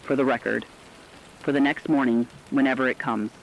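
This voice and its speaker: A man playing the steadfast host of a last-ditch radio station, reading a solemn, measured, poetic broadcast script. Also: medium pitch, fairly even intonation, gravelly, rough recording. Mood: steadfast, moved.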